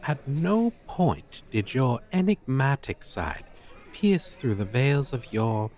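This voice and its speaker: Male actor as a haughty male dragon teacher, slow deliberate delivery, swooping intonation, pompous formal diction, ASMR roleplay. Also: mid-range voice, big pitch swings, darkish tone. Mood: intrigued. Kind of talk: ASMR roleplay, character acting.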